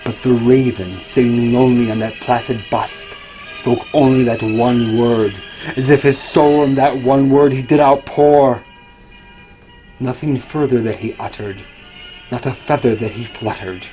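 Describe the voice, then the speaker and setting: A young man giving a theatrical, brooding recitation of gothic horror poetry, moving between hushed and loud delivery. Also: medium pitch, a little slow, soft background music, rough recording. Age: young adult. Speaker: performer reciting a poem. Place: furnished basement living room.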